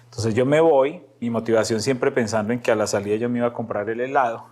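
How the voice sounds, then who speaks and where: A man's voice: mid-range voice, fast talker, a little mellow. A middle-aged Colombian man with a paisa accent, telling personal stories casually as a podcast guest and acting out bits of dialogue. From Medellín, Antioquia, Colombia.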